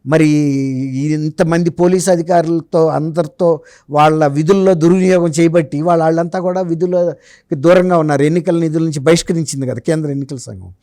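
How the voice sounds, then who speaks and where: A man's voice: on the high side, fairly even intonation, brisk, mellow, dry recording, clean studio sound. A man giving conversational political analysis as a journalist being interviewed, explaining emphatically and posing rhetorical questions.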